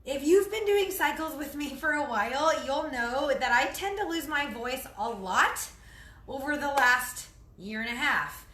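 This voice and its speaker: Hoarse, bright-voiced woman chatting. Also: high voice, lively intonation, a little slow, reverberant. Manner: chatty, rambling, clears throat. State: hoarse, losing her voice. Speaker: fitness trainer. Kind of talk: casual cooking vlog to followers. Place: home kitchen.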